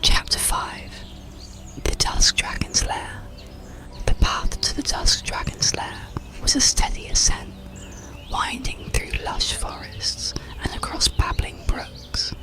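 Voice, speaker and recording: A storyteller narrating an ASMR bedtime story in a slow, soothing, hushed whisper. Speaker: audiobook narrator.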